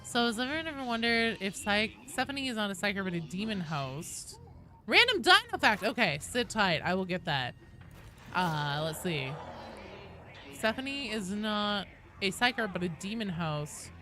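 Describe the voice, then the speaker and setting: A woman's voice: medium pitch, very animated, moderate pace, pushed and bright, faint music behind. A woman livestreaming a game, chatting casually with viewers in an irreverent, sweary, talkative manner. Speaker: game streamer and host.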